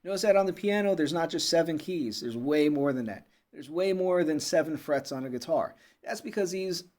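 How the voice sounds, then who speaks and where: A man teaching a relaxed music lesson, explaining step by step with many pauses and checking in with "okay?" as he goes. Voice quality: fairly low voice. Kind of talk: unscripted video lesson.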